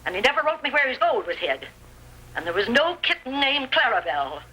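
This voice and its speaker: An actress playing a feisty, devoted frontier sister with rustic American speech in an old radio Western. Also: female voice, on the high side, sing-song, fairly quick, bright, forceful tone, slightly rough, heavily acted. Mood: defiant. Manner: rustic, spirited, blunt. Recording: vintage radio recording, tinny.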